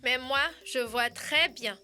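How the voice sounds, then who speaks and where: A woman's voice: high-pitched, takes it slowly, pushed and bright, soft background music, full character voice. A woman playing a small, helpful, big-eyed night animal in an educational children's cartoon dub. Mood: confident.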